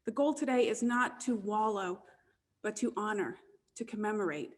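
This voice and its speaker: A woman minister leading an online church service, with a warm and gentle delivery. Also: mid-range voice, normal speed. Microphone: video call.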